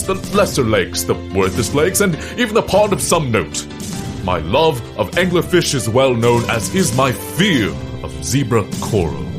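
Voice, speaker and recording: An actor playing a grandiose, dramatic gentleman sailor-scientist, declaiming pompously in a comic audio drama. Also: lowish voice.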